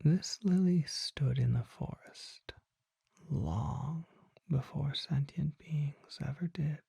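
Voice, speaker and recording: An ASMR performer voicing a mysterious, suave vampire apothecary merchant in a breathy whisper, with measured, formal and coy phrasing. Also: medium pitch, dark tone, no echo, studio quality, full character voice. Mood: hushed, intrigued. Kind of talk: ASMR roleplay, soft whispering.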